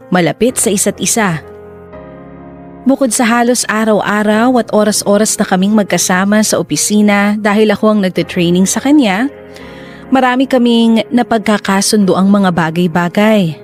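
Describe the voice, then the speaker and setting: A woman's voice: medium pitch, moderate pace, darkish tone, soft background music, close to an everyday voice. A woman narrating a confessional love story in the first person for a radio drama, in a reflective manner. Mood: wistful, reflective.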